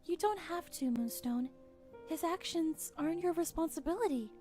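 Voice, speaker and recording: A woman playing a kind, humble young pony queen in an animated drama, speaking softly and sincerely. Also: extremely high pitch, normal speed, soft background music. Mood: wistful, gentle. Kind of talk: animated fan drama dialogue.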